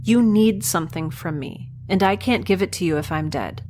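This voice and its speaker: A woman playing a defiant, quick-witted young researcher who is nauseated and concussed and talks back sardonically. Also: lowish voice, darkish tone, slight background noise, lightly acted. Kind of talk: audio drama acting.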